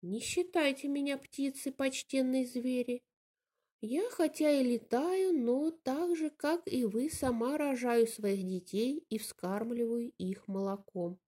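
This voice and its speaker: A woman's voice: sits high, takes it slowly, dark tone. A woman voicing a sly, ingratiating female bat in a fairy tale, pleading her case courteously. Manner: pleading, courteous self-justification.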